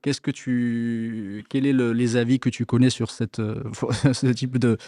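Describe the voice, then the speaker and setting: A man hosting a religious discussion show. He speaks with a mellow, dark tone and frequent restarts. His voice is close and dry, with no room sound. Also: medium pitch, level tone, unhurried, no room sound. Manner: hesitant, many restarts, peppered with Arabic blessings. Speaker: host of an Islamic radio show. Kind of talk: religious discussion, asking questions.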